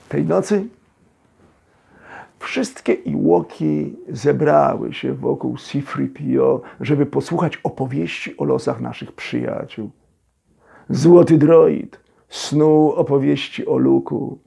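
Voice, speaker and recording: A man reading a story as a storyteller, with expressive, theatrical phrasing and dramatic pauses. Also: medium pitch, gravelly, slightly rough. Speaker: storyteller, actor reading a children's audio story. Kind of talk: dramatic scripted storybook narration.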